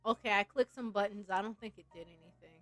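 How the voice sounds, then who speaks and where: A woman's voice: mid-range voice, steady tone, fast, fairly bright tone. A woman livestreaming a game, chatting casually and reading its story aloud in a playful way. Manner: playful, giggly fan commentary. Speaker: game streamer. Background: game music.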